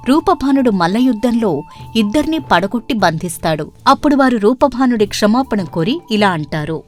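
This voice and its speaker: A woman's voice: on the high side, expressive, moderate pace, soft background music. A woman narrating a scripted children's story as the storyteller.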